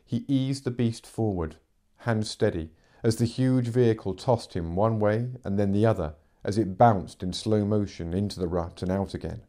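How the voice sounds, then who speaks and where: A man's voice: fairly low pitch, normal speed, warm tone. A middle-aged man reading fiction aloud as a narrator, in dramatic audiobook style. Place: living room, seated in armchair.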